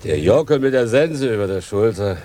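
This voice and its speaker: Male actor as village birthday man, low voice, easygoing, plain-spoken, good-natured. Radio play. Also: animated, normal speed, dark tone, lightly acted. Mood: pleased. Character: good-natured country man celebrating his birthday, down-to-earth. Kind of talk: radio drama acting.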